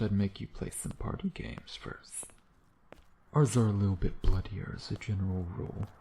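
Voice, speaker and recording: A man playing a sardonic, teasing vampire in an intimate ASMR roleplay, with a low, gravelly voice and an unhurried, predatory manner. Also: dark tone, slight background noise. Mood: grudging, boastful. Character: teasing, sardonic male vampire, a century old, youthful predator.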